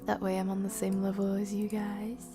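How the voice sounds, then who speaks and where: A woman streaming a game, chatting playfully with viewers and often dropping into hushed whispered asides. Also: medium pitch, completely flat delivery, moderate pace, over music. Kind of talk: casual live-stream chat while playing.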